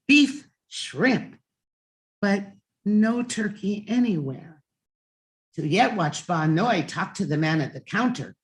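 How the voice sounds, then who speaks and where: A woman reading a children's bedtime story aloud in an expressive, emphatic way with deliberate pauses. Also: medium pitch.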